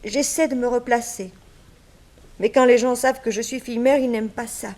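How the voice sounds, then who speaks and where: Actress as wronged young servant mother, higher voice, resigned and plaintive, plain speech, vintage radio drama. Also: fairly even intonation, brisk, darkish tone, natural voice. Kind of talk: 1960s French radio drama acting.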